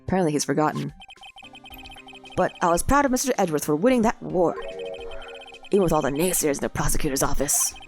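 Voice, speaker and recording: A woman's voice: low voice, lively intonation, fast talker, pauses often, a little mellow, soft background music. A woman with a cold reading a dry, thoughtful young male lawyer's inner remarks. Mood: wry. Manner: wry inner commentary. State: sick with a cold.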